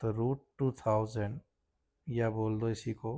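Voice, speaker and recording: A man's voice: fairly low pitch, flat delivery, slow, dark tone. A man with an Indian accent, a physics teacher explaining a worked problem step by step and checking understanding with short tags.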